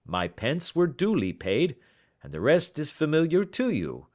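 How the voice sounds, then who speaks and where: A man voicing a learned, down-on-his-luck older gentleman in an audiobook, courteous and slightly pompous, choosing words with slow staccato care. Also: fairly high pitch, huge pitch swings, voice pushed up. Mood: obliging, dignified. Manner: slow staccato, careful word choice, pompous. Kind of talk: audiobook character acting.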